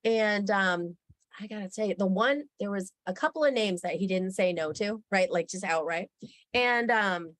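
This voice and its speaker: A woman's voice: medium pitch, normal speed, a bit bright, no echo. A woman speaking as a guest, telling a personal story candidly with easy laughter. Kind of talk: casual interview chat.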